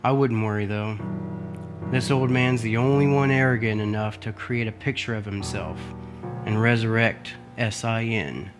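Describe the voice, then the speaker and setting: A man's voice: on the low side, moderate pace, natural voice. Man acting a brooding, scheming young heir over music. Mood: cynical. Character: scheming, bitter male heir to a ringmaster. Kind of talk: radio drama acting. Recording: music behind the voice.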